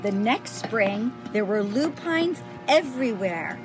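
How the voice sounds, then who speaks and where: A woman reading a children's picture book aloud, pausing to point things out to young listeners. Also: medium pitch, big pitch swings, over music. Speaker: storybook reader.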